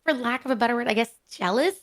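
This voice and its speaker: A woman's voice: fairly high pitch, quick pace. A young woman being interviewed as a streamer guest, talking candidly and chattily with many "like"s. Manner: candid, chatty, uses "like" often. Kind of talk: casual interview.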